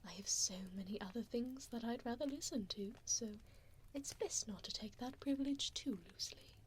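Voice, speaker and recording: A woman playing a cruel, haughty vampire hostess in an ASMR roleplay, speaking in breathy whispers and soft voice with condescending, formal diction, close to the microphone. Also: on the high side, moderate pace, a little hiss or hum, natural voice. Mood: mocking, controlling. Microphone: close binaural microphone.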